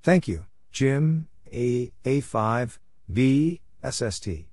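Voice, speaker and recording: Slow, deliberate man reading practice phrases. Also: mid-range voice, expressive, darkish tone, husky, no echo, studio quality. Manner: deliberate, spells out letter by letter. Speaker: Morse code practice narrator. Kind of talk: scripted read-out of short phrases.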